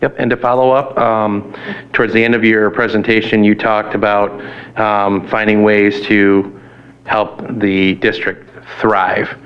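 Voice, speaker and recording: Hesitant low-voiced man, dull audio. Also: flat delivery, unhurried. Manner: hesitant, many ums. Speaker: school board member. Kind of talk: interview questioning.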